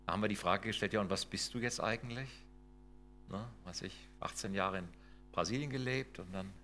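A man's voice: fairly low pitch, fairly quick, sharp tone, faint music behind. A middle-aged man giving a lecture, emphatic and full of rhetorical questions and tag questions. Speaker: lecturer on intercultural work. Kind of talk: lecture with slides.

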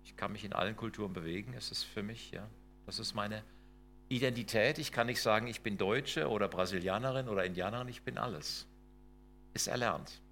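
A middle-aged man lectures from slides in a lowish voice, pressing his points with rhetorical questions. He tags his sentences with quick checks to the audience. A faint hum sits under the recording. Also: fairly bright tone. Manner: emphatic, rhetorical questions, tag questions like "ne?" and "ja?". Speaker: lecturer on intercultural work.

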